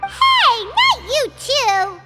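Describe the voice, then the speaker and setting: A woman voicing a tiny, excitable, childlike fairy companion in a video game, with a squeaky voice. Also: extremely slow, over loud music, exaggerated voice. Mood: indignant, eager. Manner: talks of herself in third person, exclaiming. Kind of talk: cartoonish video game voice acting.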